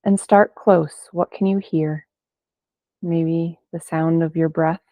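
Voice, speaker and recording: A woman leading a guided meditation in gentle, unhurried phrases with long pauses between them. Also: deep voice, fairly even intonation, no echo. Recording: band-limited, phone-like sound, dry.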